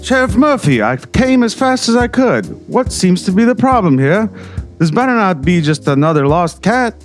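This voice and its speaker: A man playing a slick, self-serving small-town mayor in an audio drama, smooth-talking and condescending. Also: high voice, big pitch swings, normal speed, faint music behind. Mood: brisk, impatient.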